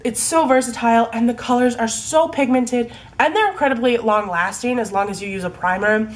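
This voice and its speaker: A woman's voice: fairly high pitch, brisk. A young woman with a stuffy, congested voice from a cold, chatting casually about her favorite products, full of likes and ums. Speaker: beauty vlogger. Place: home room in fading daylight.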